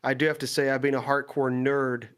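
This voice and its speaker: A man's voice: mid-range voice, little pitch movement, brisk, fairly bright tone, clean tone. A young man from the Netherlands chatting casually, reflective and hesitant, in English with an American-sounding Dutch accent. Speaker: podcast guest, gaming content creator. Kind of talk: casual chat.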